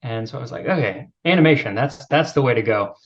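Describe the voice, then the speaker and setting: A man with a youthful-sounding voice talks as a podcast guest. His speech is relaxed and self-deprecating, with plenty of fillers. The recording sounds a little dull and lo-fi. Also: mid-range voice, quick pace. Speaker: podcast guest, video creator. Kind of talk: casual interview chat.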